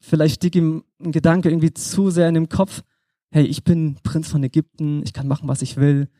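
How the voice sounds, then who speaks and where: A young man preaching in a relaxed, conversational way, speaking straight to the listener as if chatting. His voice sits a little high but sounds mellow and dark. The sound is close and dry, with almost no room echo. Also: moderate pace. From Germany. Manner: conversational, addresses listener directly. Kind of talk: informal sermon.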